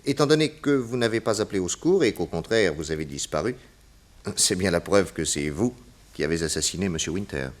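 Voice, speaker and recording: An actor playing a self-assured, logical private detective, delivering measured deductions in a theatrical 1960s radio crime drama. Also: male voice, low-pitched, expressive. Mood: confident, accusing.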